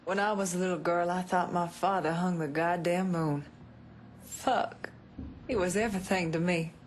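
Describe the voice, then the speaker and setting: A woman's voice: lowish voice, fairly even intonation, normal speed, slight background noise, lightly acted. An actress playing a tough, guarded, wounded woman in a video game, frank and sardonic. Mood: wounded, bitter. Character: bitter, guarded, tough gangster's daughter.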